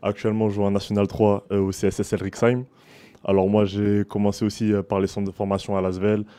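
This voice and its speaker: A man from France introducing himself as a basketball player, calm and hesitant. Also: lowish voice, a little fast, clear, resonant tone. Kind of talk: self-introduction.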